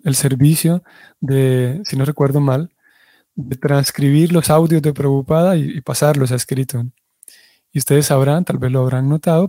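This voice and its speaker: A man giving a religious class, explaining things in a measured way and often restarting his sentences as he searches for words. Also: a little high, mellow, no echo, clean studio sound. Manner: explanatory, restarts and self-corrections. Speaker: devotional teacher.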